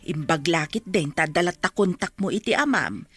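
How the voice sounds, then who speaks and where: Blunt, sharp-toned grandmother. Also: lowish voice, animated, normal speed, husky, soft background music. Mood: disapproving. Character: blunt, disapproving grandmother watching over her granddaughter. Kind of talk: Ilocano radio drama acting.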